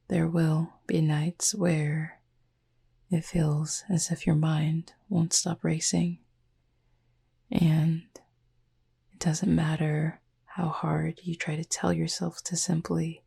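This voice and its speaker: A woman playing a gentle, composed Cupid roommate in a sleep-aid ASMR roleplay, speaking softly and reassuringly in a breathy, creaky near-whisper with formal wording. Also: sits low, heavily acted.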